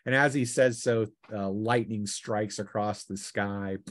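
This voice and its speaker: A man narrating a tabletop roleplaying game as game master, improvising his descriptions with halting pauses. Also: on the low side, steady tone.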